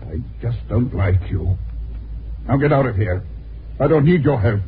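A man's voice: mid-range voice, animated, measured pace, lightly acted. A man playing a haughty, scheming lawyer with a cold, formal, menacing manner in a 1950s radio drama. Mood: hostile. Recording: tinny, noisy old radio recording.

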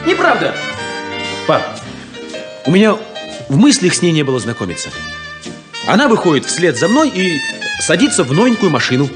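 An actor playing a naive, hot-headed young son in a 1970s radio play, excitable and pleading, stammering when flustered. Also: male voice, fairly high pitch, moderate pace, pauses often, over music. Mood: flustered, excited.

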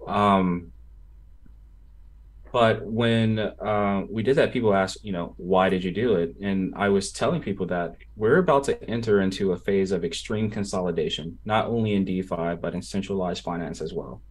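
A man being interviewed as a startup founder over a video call, explaining things casually and haltingly with many pauses. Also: low-pitched. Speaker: interview guest, crypto project founder. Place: home room with gaming chair, on video call. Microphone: desktop microphone on a video call.

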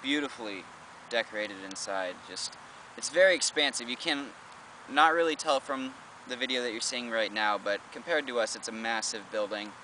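A man's voice: mid-range voice, normal speed, bright, forceful tone, slight background noise, low-quality recording. A young man co-hosting a travel vlog outdoors on a lawn, casually adding facts and figures, picked up by a camera a few meters away. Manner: informative, adds facts and figures. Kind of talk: casual on-location chat. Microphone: camera mic from a few meters away.

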